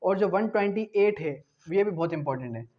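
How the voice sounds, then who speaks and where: High-pitched Pakistani man lecturing, dull audio. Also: animated, fairly quick. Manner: didactic, asks questions and answers them himself; switches between Urdu and English terms. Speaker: law lecturer. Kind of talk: explanatory exam lecture.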